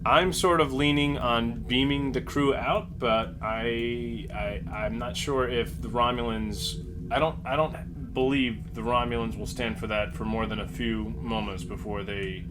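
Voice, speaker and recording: A man's voice: mid-range voice, fairly even intonation, a little slow. A man playing a starship captain in a casual tabletop game, thinking aloud hesitantly and jokily. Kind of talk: casual tabletop roleplay chat. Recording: noticeable background noise.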